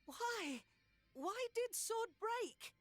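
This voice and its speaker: Feisty high-pitched teenage girl. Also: lively intonation, drawn-out words, dry recording, soft background music, exaggerated voice. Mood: distressed. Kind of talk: video game cutscene acting.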